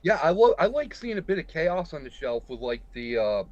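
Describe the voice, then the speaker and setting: A man's voice: fairly high pitch, sing-song, brisk, dry recording, lo-fi sound. A man chatting eagerly about his toy collection as a podcast guest. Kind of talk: casual podcast chat.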